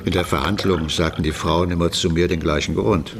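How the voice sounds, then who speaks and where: Low, creaky, world-weary judge. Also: male voice, steady tone, fairly quick. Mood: teasing, chiding. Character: world-weary male judge, needling old friend. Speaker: radio drama actor. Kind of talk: German radio crime drama dialogue. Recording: crowd murmur behind the scene.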